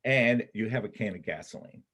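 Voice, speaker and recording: A man plays a guarded ex-soldier with a husky, lowish voice. He talks casually and wryly, in the natural manner of a staged script reading. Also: expressive, fairly quick, fairly bright tone. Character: male war-survivor soldier, guarded, wry drifter. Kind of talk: church reader's theater acting.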